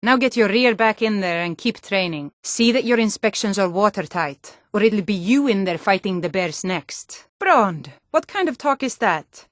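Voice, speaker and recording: A woman's voice: medium pitch, expressive, moderate pace, few pauses, no room sound, clean studio sound, lightly acted. An actress voicing a hardy, no-nonsense Nord townswoman and guard in a fantasy video game, with a firm, plain-spoken delivery. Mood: stern, commanding. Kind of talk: scripted fantasy game dialogue.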